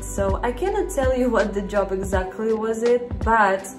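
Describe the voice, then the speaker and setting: A woman's voice: fairly high pitch, normal speed. Casual vlog read: young adult female, Russian accent, chatty to camera, echoey bedroom recording with background music. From Russia, Vladivostok. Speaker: vlogger. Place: bedroom, indoors. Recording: roomy echo, music underneath.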